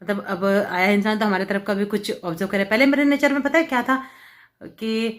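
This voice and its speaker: A woman's voice: medium pitch, steady tone, a little fast. A woman vlogger chatting casually to camera at home, telling a story with lots of fillers. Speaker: vlogger, homemaker. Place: at home, seated with hair dryer.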